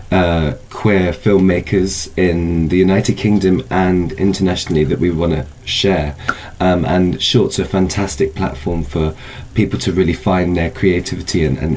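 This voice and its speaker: A man's voice: deep voice, a little mellow, a little hiss or hum. A man speaking as a festival organiser in a conversational interview, explaining a programme in searching phrases with repeated words.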